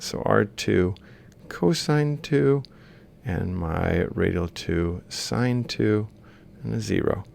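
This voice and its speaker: Male lecturer, low register with heavy vocal fry, informal step-by-step walkthrough. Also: darkish tone, a little hiss or hum. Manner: explains step by step while writing. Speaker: lecturer in robotics. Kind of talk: informal worked-example lecture.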